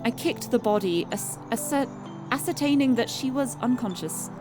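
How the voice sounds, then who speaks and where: High-voiced woman streamer reading a visual novel. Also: lively intonation, normal speed. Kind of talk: live read-aloud of visual novel narration with casual asides. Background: music.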